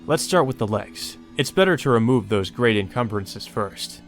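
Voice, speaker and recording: A man voicing a cold, methodical killer narrating calmly and deliberately in a visual novel. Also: mid-range voice, faint music behind, lightly acted. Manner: calm, deliberate. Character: cold methodical male killer musing over his ritual.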